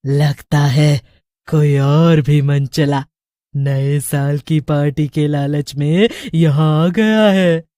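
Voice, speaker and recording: A woman voicing a menacing, cruel witch leader who mocks her victims with drawn-out words and cackles. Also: low voice, fairly even intonation, dark tone, dry recording, clean studio sound. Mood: mocking, gloating.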